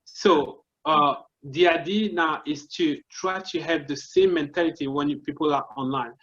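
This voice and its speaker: Congolese French-accented man, slightly high voice. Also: normal speed, fairly bright tone, dull audio. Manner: earnest, explains step by step with frequent restarts. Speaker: marketing consultant guest. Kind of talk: casual explanatory interview talk.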